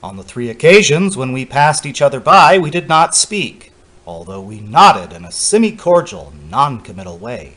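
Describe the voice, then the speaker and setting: A man acts out a lonely, fussy middle-aged male academic narrating an eerie storybook mystery. His formal, literary delivery is measured yet swoops expressively up and down. He sounds self-pitying and particular about every detail. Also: medium pitch, big pitch swings, fairly bright tone. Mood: lonely, reserved. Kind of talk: dramatic horror story reading.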